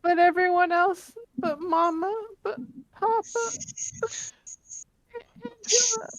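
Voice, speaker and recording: A man's voice: extremely high pitch, slow, fairly bright tone, no room sound, full character voice, rough recording. A man voicing a frightened, grieving orphan boy in a tabletop game, plaintive and stammering. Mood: grieving, confused.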